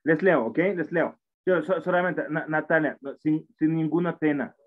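A man's voice: a little high, moderate pace. A man in his forties with a foreign accent in Spanish, teaching an English class online in a patient, encouraging way that often repeats itself. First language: not Spanish. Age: 40s. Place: indoor room at a computer. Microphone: computer mic on a video call. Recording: tinny phone-like online call audio.

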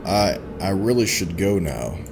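A man's voice: deep voice, very animated, a little slow, gravelly, background noise. A young man hosting a casual let's play, chatting informally and reacting playfully as he goes. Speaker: gaming YouTuber host. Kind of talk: casual let's play commentary, reading game text aloud. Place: indoor home gaming setup. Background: game music.

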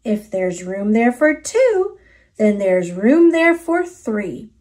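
Woman voicing a chirpy, high, cheeky male bird. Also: big pitch swings, takes it slowly, darkish tone. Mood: excited. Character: cheeky cheerful male bird, a brash freeloader.

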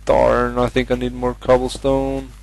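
Hesitant Norwegian-accented gamer, flat voice. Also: male voice, medium pitch, a little slow, vocal fry. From Norway. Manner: hesitant, many fillers, chatty. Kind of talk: casual game commentary.

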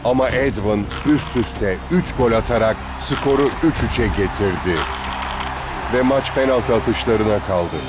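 A man playing a calm, wistful older teammate telling stories in an animated series dub. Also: medium pitch, natural voice.

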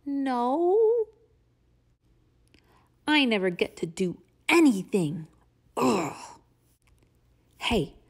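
A woman acts out a pleading storybook pigeon with a high pitch. The delivery is dramatic and wheedling, with words stretched out slowly as it sulks and begs. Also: exaggerated voice. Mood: sulky, indignant, then playful, eager. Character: pleading, sulky cartoon pigeon desperate to drive. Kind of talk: animated picture-book read-aloud.

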